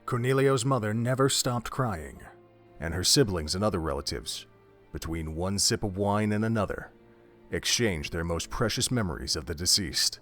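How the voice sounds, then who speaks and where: A man's voice: lowish voice, expressive, normal speed, fairly bright tone, faint music behind. A man reading scripted narration for an audio drama, telling the story in prose.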